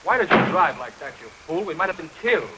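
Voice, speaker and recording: Indignant young traveler, very noisy film. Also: male voice, a little high, very animated, fast. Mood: indignant, disbelieving. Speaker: actor in a horror film. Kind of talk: 1930s film acting. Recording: very noisy 1930s film soundtrack under rain. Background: heavy rain.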